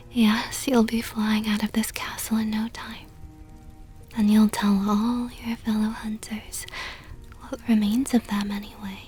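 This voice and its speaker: A woman playing a seductive, possessive vampire countess in an ASMR roleplay, teasing in a soft whisper with mockingly sweet, coaxing words. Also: fairly high pitch, husky, heavily acted.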